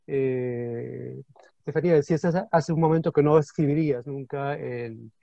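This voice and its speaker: A man's voice: mid-range voice, moderate pace, creaky, mellow, no echo, muffled recording. A man musing in a panel discussion over a video call, tossing questions to the others. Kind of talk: casual discussion.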